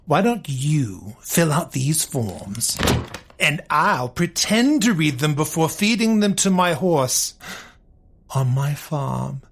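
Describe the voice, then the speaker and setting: An actor playing a corrupt, lazy old police sergeant with a creaky voice in a comic audio drama. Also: male voice, fairly high pitch, big pitch swings, slow, exaggerated voice. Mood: dismissive, sarcastic. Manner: dismissive, sarcastic, drawling.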